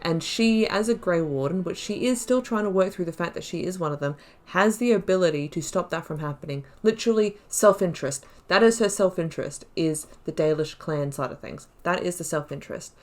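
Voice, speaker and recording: A woman gives casual commentary as the host of a gaming video. Her voice sits a little low. She thinks aloud expressively, leaning on emphatic repetition. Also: fairly quick, hardly any pauses, darkish tone.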